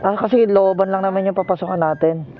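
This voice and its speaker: High-voiced Filipino man, casual ride vlogger. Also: steady tone, quick pace, narrow, tinny audio, over music. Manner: polite and jokey with passengers. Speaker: motorcycle taxi rider and vlogger. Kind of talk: casual ride vlog chat. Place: outdoors on a motorcycle on the road. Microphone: helmet intercom microphone.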